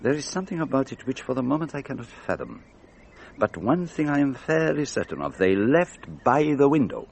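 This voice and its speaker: An actor playing a brilliant, self-assured detective in a radio drama, precise and theatrical. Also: male voice, mid-range voice, very animated, brisk, vocal fry, slight background noise. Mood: probing, assured. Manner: precise, theatrical, gently didactic.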